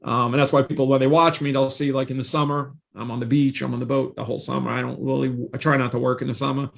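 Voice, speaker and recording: A man's voice: mid-range voice, flat delivery, fast talker, gravelly, a bit bright. A man in his forties, a guest on a podcast, telling his career story in a confident, salesy way with emphatic repetition. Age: 40s. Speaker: real estate investor and guest.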